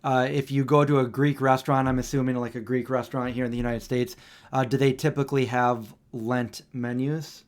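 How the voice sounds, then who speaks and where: A man's voice: mid-range voice, flat delivery, brisk. Male live-stream host, easygoing, reads viewer questions aloud, mid-range voice. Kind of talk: casual live-stream chat.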